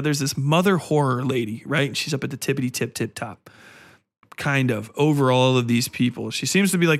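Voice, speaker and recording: A man's voice: mid-range voice, fairly bright tone. A man ranting casually as a podcast host, blunt, profane and exasperated, rambling through his opinions in slangy speech.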